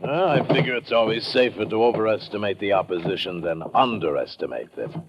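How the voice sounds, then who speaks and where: An actor playing a smug, menacing American crook who passes as a chummy tourist, in old-time radio drama style, on a muffled, noisy vintage recording. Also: male voice, fairly low pitch, lively intonation, fairly quick. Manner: taunting, falsely chummy, commanding.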